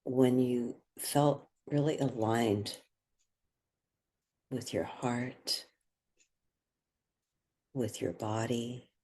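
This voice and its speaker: A person guiding a meditation in a soft, breathy voice, speaking gently and soothingly with unhurried pauses. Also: medium pitch, steady tone, a little hiss or hum. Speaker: meditation guide. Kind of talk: guided meditation.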